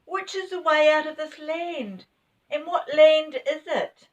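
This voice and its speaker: A woman's voice: high-pitched, takes it slowly, a little hiss or hum, pitched above the performer's own voice. An insistent, practical young boy from a children's storybook, played by a woman, his voice lifted and urgent. He calls out with some exasperation. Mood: shouting, insistent. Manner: urgent, calling out.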